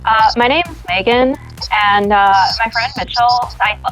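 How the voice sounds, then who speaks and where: A woman's voice: a little high, unhurried, a bit bright, soft background music, rough recording. A woman playing an eager young job-seeker in a tabletop game, gushing and rambling with a naive air. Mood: eager, nervous. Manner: gushing, rambling, filler-heavy.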